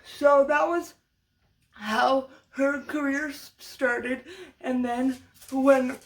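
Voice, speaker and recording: A young woman losing her voice to allergies, talking through an unscripted commentary vlog in a halting way, full of restarts. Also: sits high, fairly even intonation. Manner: halting, many restarts and stutters.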